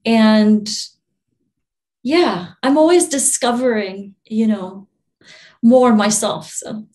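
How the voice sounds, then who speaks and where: A young woman from Albania, a spiritual channeler, talking in a relaxed interview, soft-spoken with frequent laughs and restarts. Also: fairly high pitch, slow pace.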